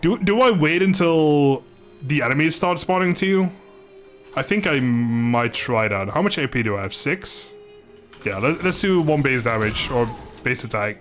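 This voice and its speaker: A man's voice: mid-range voice, lively intonation, unhurried, soft background music. A man doing casual live game commentary, chatting informally with viewers, joking and swearing mildly. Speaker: game streamer.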